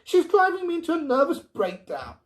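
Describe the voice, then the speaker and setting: A man's voice: extremely high pitch, huge pitch swings, brisk, voice pushed up, exaggerated voice. A man voicing a stubborn, exasperated mother in a story, firm and emphatic. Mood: exasperated, grim. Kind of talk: storybook character reading.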